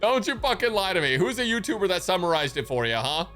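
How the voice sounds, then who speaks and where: Animated, bright-voiced young man streaming. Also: sits high, fast talker. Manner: profane, animated, bantering. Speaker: streamer reacting to a game. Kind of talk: casual live commentary to chat. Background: game music.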